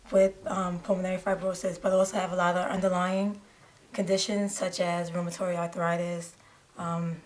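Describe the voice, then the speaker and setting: Woman, 20s; low, flat, measured delivery. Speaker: patient. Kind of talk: documentary interview.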